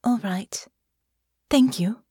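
A woman voicing a shy, flustered young noblewoman who speaks hesitantly and softly in an audiobook. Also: on the high side, slow, no echo, studio quality, pitched above the performer's own voice. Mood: bashful. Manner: hesitant, breathy and soft-spoken. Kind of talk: audiobook character voice.

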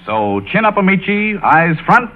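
A man's voice: high-pitched, sing-song, unhurried. An actor playing a pompous man giving himself a grandiose pep talk in an old-time radio comedy. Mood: pompous, rousing. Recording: noisy, dull old-time radio recording.

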